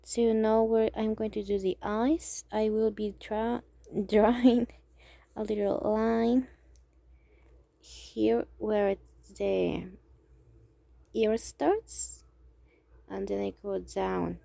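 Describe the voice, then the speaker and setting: Soft slow Mexican woman, gravelly. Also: mid-range voice, level tone, dry recording. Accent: Mexican Spanish. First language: Spanish. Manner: hesitant, halting, thinking aloud; soft-spoken, drops to near whisper. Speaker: amateur artist. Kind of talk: casual drawing tutorial.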